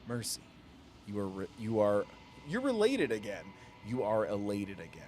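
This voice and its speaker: A man's voice: fairly low pitch, very animated, normal speed, a little mellow, a little hiss or hum. A man doing live gameplay commentary, thinking aloud playfully and reading text from the game. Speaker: game streamer. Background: game music.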